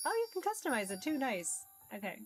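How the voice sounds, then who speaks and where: A woman's voice: sits high, big pitch swings, fairly quick, soft background music. A woman chatting casually and playfully as she streams a game, reacting aloud. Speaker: streamer playing a visual novel. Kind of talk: casual gaming stream chat.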